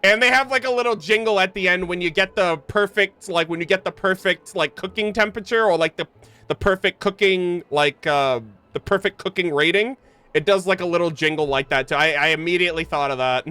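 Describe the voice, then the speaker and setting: A man doing casual, slangy live game commentary, excitable and swearing freely, sometimes reading game text aloud. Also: sits high, brisk, clean tone. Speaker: game streamer.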